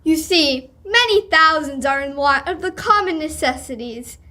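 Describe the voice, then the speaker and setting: A woman's voice: high voice, expressive, moderate pace, sharp tone. A young woman playing a polite, earnest charity collector in a live radio drama, formal and courteous. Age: teenager or young adult. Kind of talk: live old-time radio drama reading.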